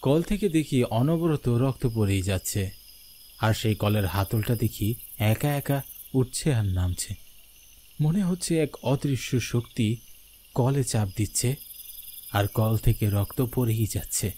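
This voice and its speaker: A male narrator tells a horror story in the first person from a script. His voice is mid-pitched, with faint noise and crickets chirping behind him. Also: expressive, slow, long pauses, warm tone. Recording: background noise with night crickets.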